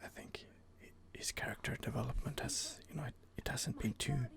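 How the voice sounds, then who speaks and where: A man whispering through a gaming ASMR session, his voice heavy with gravelly fry. His hushed delivery still moves up and down a lot in pitch, and he hesitates and restarts his thoughts as he chats with viewers. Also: wildly swooping pitch, brisk. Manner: hesitant, with many fillers and restarts. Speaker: let's play host. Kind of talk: whispered ASMR gameplay commentary.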